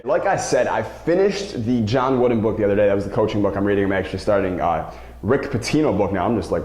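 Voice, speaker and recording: A man's voice: lowish voice, darkish tone. A man speaking as a basketball coach and show host, giving an emphatic motivational talk to viewers and stressing key words by repeating them. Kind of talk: motivational vlog talk to camera. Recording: roomy, echoing sound.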